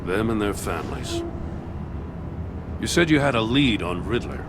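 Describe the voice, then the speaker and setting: Tired old-hand game cop, low voice, plain talk, city noise behind. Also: male voice, sing-song, normal speed. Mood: weary. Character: world-weary, plainspoken veteran police official. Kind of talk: video game voice acting.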